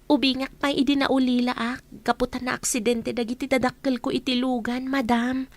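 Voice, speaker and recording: A woman's voice: sits high, flat delivery, moderate pace, dry recording, lightly acted. A woman playing a meek, plaintive young orphaned housemaid in an Ilocano radio drama. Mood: meek, sad. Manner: plaintive, deferential.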